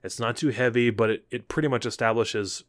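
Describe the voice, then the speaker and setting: A man's voice: mid-range voice, a little fast, no room sound, studio quality. A man co-hosting a podcast in casual chat, joking and laughing often. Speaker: podcast co-host.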